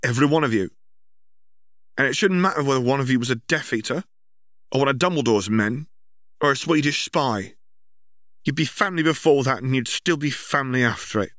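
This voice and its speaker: Audiobook mother, male reader, stern and emotional, pitched up, close dry sound. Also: fairly quick, pauses often, fairly bright tone, no echo, close to an everyday voice. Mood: stern, emphatic. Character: stern, emotional mother rebuking her children.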